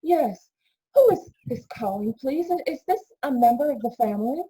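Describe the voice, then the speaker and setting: A woman acting a somber household figure in an old radio play. Her voice is fairly high yet very soft-toned and husky, with formal, measured, guarded delivery. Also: lively intonation, rough recording. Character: somber, guarded woman answering a family's telephone.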